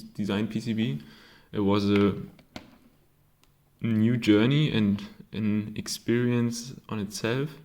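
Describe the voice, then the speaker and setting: A man's voice: lowish voice, a little mellow. A man from Germany with a German accent, a non-native speaker, casually showing his own circuit board, hesitant with many ums and self-corrections. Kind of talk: casual show-and-tell.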